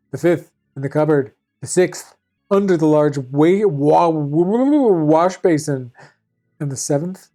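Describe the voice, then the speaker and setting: A woman storyteller on an evening fairy-tale show, deadpan and formal, her low voice sitting over faint music. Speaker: fairy tale reader and host. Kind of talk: scripted storybook narration with dry asides.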